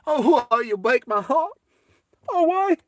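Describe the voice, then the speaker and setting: A man's voice: very high voice, very animated, slow, a bit bright, no echo, studio quality, pitched above the performer's own voice, full character voice. A man voicing a heartbroken foreign girlfriend who pleads repetitively in broken English. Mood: wailing, heartbroken.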